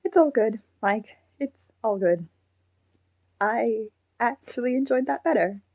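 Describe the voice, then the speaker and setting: A woman voicing a shy, apologetic living puppet in an audio drama, speaking in a hesitant, gentle way. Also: a little high, measured pace, no echo, phone-quality sound. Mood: shy, reassuring.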